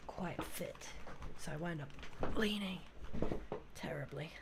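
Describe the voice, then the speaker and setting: A woman with a low, gravelly voice murmurs to her stream chat as she draws, often dropping to a half-whisper. She talks slowly and unhurriedly in a soft, relaxing way, close to a desk microphone. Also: very noisy. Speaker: streamer drawing fan art. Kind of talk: casual live-stream chat while drawing. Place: at a desk at home.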